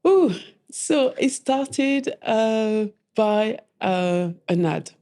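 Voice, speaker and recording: A woman, a coffee trainer chatting as an interview guest, laughing often and filling pauses with "uh". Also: a little high, animated, warm tone. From France. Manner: chatty, laughs often, many fillers and restarts. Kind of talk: casual interview chat.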